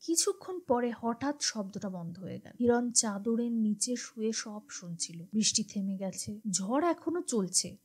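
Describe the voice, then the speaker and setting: A woman's voice: on the high side, big pitch swings, a little slow, few pauses, darkish tone, husky. A woman narrating a scripted horror story in a dramatic storytelling style. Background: music behind the voice.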